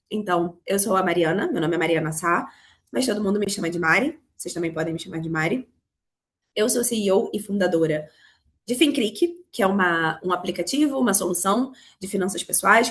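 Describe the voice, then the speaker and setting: A Brazilian woman from Rio with a Carioca accent, hoarse from a cold, teaching a live online class on money, talking straight to her students. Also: mid-range voice, slight background noise. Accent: Carioca Brazilian Portuguese. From Rio de Janeiro, Brazil. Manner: explanatory, addresses students directly. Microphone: video call.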